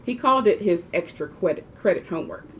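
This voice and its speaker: A woman's voice: on the low side, lively intonation, quick pace, slight background noise. A woman reading a children's book aloud expressively, with the occasional small stumble. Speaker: volunteer reader of a children's book. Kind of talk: storybook read-aloud.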